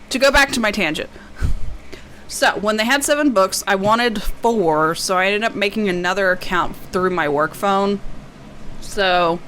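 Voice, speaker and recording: A woman's voice: medium pitch, a bit bright, poor audio. A woman book vlogger talking casually in a rambling, self-deprecating way, often drifting into tangents. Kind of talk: casual chatty unboxing vlog. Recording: clear background noise.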